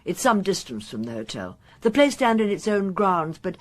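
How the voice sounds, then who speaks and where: An actress playing an efficient upper-class wife in a radio crime drama, precise and a little haughty. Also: female voice, medium pitch, big pitch swings, normal speed, natural voice. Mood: precise, guarded. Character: efficient upper-class titled English wife, slightly indignant.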